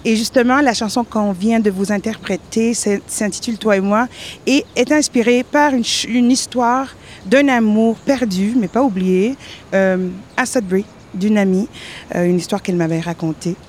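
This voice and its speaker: A woman from northern Ontario whose first language is Spanish, introducing her songs in a soft, conversational way at home. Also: mid-range voice, normal speed, a little hiss or hum. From northern Ontario, Canada. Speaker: singer-songwriter introducing songs. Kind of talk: casual stage talk between songs.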